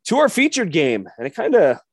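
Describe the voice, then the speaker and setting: Brief: male podcast co-host, a bit higher voice, dry close mic, casual game rundowns. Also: huge pitch swings, fairly quick, breathy edge, no echo. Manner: rundown of game details, hedging fillers. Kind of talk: casual sports podcast chat.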